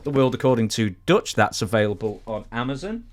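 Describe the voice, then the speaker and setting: A man's voice: mid-range voice, big pitch swings, brisk, slight background noise. A British man co-hosting a podcast, chatting and reading plugs in a rambling way with dry, self-deprecating humour. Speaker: podcast co-host. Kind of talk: casual chat, reading plugs.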